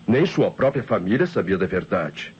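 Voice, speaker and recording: An evasive, nervous Brazilian assistant, animated. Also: male voice, on the low side, normal speed, husky, exaggerated voice. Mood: defensive. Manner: defensive, hesitant. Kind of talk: old Brazilian radio drama acting. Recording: dull old radio recording, faint hiss.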